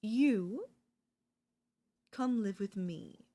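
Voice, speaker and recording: A woman voice actor playing an obsessive older female professor in an ASMR audio roleplay, coaxing and deliberate, with dramatic pauses. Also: medium pitch, lively intonation, mellow. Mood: coaxing, earnest.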